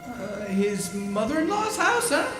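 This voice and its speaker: A high, slow, swooping voice for an innocent, eager guardian angel , played by a woman, in a radio play. The angel is hesitant and wide-eyed. It sounds roomy and a little off-mic. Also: very animated, far from the mic, music in the background. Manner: hesitant, stammering, exclaiming. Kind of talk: live 1940s-style radio play acting. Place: live stage performance.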